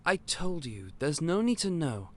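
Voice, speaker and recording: Male actor as a gentle, eccentric magical shopkeeper; slow delivery, animated intonation. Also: on the high side. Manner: gentle, polite, excitable and a little scatterbrained. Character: eccentric otherworldly male candy store owner. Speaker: audio roleplay performer. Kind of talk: scripted audio roleplay to the listener.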